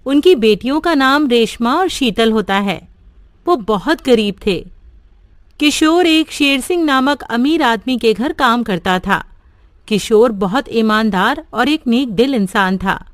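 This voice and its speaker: A woman's voice: fairly high pitch, measured pace, no room sound, studio quality. Woman narrating a cartoon story. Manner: steady, simple storybook telling.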